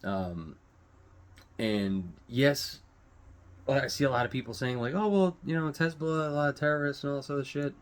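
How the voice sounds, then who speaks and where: A man hosting his own online show, giving casual unscripted news commentary in a halting, rambling way, searching for words and swearing casually. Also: medium pitch.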